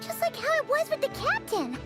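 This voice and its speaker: A woman voicing a tiny, childlike, excitable fairy companion in a video game. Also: very high voice, brisk, over loud music, full character voice. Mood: excited, curious.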